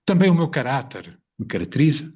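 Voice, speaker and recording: A man from Portugal with a European Portuguese accent, presenting a measured, reflective spoken essay and often posing rhetorical questions to the viewer. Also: mid-range voice, very animated, darkish tone, slightly rough, highs cut off. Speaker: video presenter.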